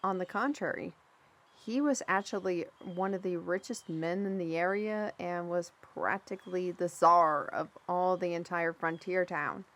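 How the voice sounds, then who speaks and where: A woman playing a chatty, easily flustered storyteller in a fantasy audio roleplay, with an expressive, hesitant delivery. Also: on the low side, unhurried. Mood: musing, amused. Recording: music behind the voice.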